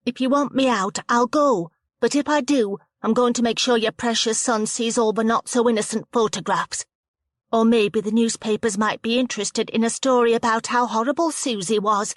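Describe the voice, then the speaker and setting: A desperate young woman's voice jumps high and shrill, breaking into sobs as she pleads. A woman voices her as the narrator. The sound is close and dry. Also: steady tone, moderate pace, no room sound, close to an everyday voice. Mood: defiant. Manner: high and shrill when upset.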